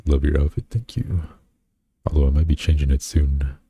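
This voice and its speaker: A man's voice: very deep voice, steady tone, normal speed, dark tone, husky, dry recording. A man streaming as a VTuber who chats casually and reads his chat aloud. He rambles and teases himself, with lots of fillers and restarts, recorded on a close desk mic at home. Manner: rambling, self-deprecating, many fillers and restarts.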